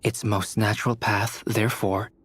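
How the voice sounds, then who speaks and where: A man voicing a calm, scholarly young alchemist mentor in a video game, measured, articulate and formal. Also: fairly low pitch, slightly rough. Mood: composed, explanatory. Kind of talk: dramatic video game dialogue.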